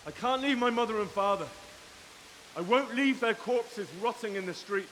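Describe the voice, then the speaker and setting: A man's voice: sits high, normal speed, a bit bright. A young man playing a stubborn, grieving medieval village lad in a video game cutscene. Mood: pleading, determined. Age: young adult. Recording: long reverb, faint hiss, distant.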